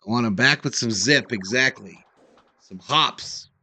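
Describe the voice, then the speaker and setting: A man's voice: mid-range voice, measured pace, sharp tone, husky. A man hosting a sports livestream, giving casual play-by-play and chatting with fans in a folksy, informal way. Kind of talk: casual play-by-play commentary and fan chat.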